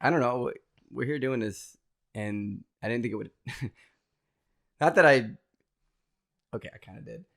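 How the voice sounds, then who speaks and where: A woman's voice: a bass voice, unhurried, long pauses, fairly bright tone. A woman in her thirties co-hosting a podcast, dry and wisecracking, often swearing in casual chat. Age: 30s. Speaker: podcast co-host.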